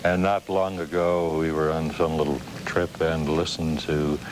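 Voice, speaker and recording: A man's voice: a bass voice, level tone, measured pace. An American man, middle-aged or older, chatting casually as the host of a television interview. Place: TV studio interview. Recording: noisy 1990s local TV broadcast.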